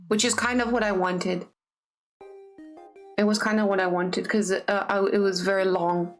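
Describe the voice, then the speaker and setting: A woman streamer in casual chat with her viewers, bubbly and rambling with many fillers and restarts, tired and lower-voiced from jet lag.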